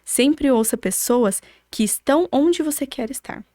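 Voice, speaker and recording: A woman's voice: high-pitched, expressive, measured pace, warm tone, no echo, clean studio sound. A young adult woman with a Brazilian accent answering as a podcast guest in an emphatic, motivational way, often posing rhetorical questions. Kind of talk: conversational interview answers, motivational.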